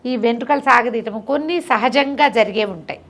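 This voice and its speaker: High-voiced creaky woman storyteller. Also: a little fast, a bit bright. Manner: conversational, didactic, repeats words for emphasis. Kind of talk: animated moral storytelling in conversation.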